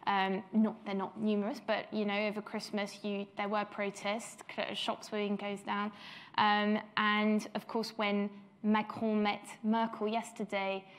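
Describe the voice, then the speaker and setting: A woman's voice: mid-range voice, completely flat delivery, unhurried. A woman from Belgium chairing a policy panel discussion, talking with many hesitant fillers.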